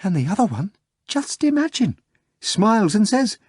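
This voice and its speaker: A woman's voice: very animated, takes it slowly, darkish tone, breathy edge, no echo, studio quality, exaggerated voice. A man voicing a hysterical young female secretary who wails through her tears in a slightly nasal, breathless way. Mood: agitated. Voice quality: a little nasal from crying. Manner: shrill, frantic, breathless wailing. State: crying. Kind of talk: audiobook character voice.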